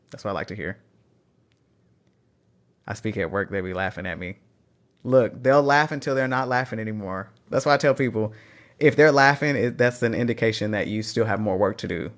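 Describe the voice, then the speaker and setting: A man with a Texan accent hosting a live phone stream, reading out chat questions and encouraging language learners. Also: fairly low pitch. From Texas. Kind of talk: casual livestream Q&A.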